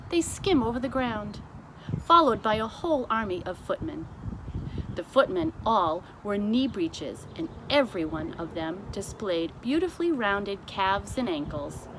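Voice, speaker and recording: A woman reading a children's story aloud as scripted narration, with deliberate, expressive phrasing. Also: medium pitch. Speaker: storybook reader. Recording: clearly noisy amateur recording.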